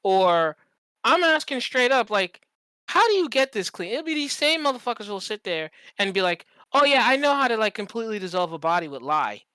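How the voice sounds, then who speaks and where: Podcast co-host, bright voice, dry sound, casual comedic storytelling, animated, sweary. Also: mid-range voice, a little fast, smooth, dry recording. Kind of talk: casual comedic chat with acted-out examples.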